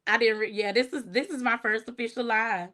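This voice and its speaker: A woman hosting her first livestream, chatting casually and warmly, thanking viewers by name and laughing often. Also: on the high side, fairly even intonation, a little fast. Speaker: livestream host. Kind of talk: casual livestream chat reading comments.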